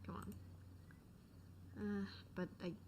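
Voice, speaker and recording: A woman's voice: medium pitch, little pitch movement, slow pace, a little mellow. A woman streamer giving casual, slangy reactions to a game, empathetic and responsive. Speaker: gaming streamer. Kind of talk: casual reaction commentary over gameplay. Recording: noisy mic with game sound. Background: video game ambience.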